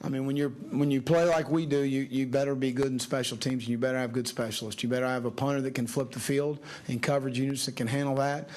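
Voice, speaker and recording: A man's voice: medium pitch, level tone, fast talker, few pauses, a little mellow. A man speaking as a football coach in unscripted press remarks, repetitive and emphatic.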